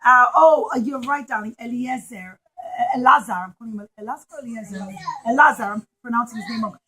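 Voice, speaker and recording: High-pitched woman telling children a story. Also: brisk, sharp tone, slightly rough, rough recording. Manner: emphatic, repeats phrases for children. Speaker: children's storyteller and puppet show presenter. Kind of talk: animated storytelling to young children.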